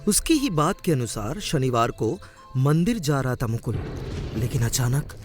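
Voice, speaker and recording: Male story narrator: animated scripted delivery, expressive, with faint music underneath. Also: mid-range voice, unhurried.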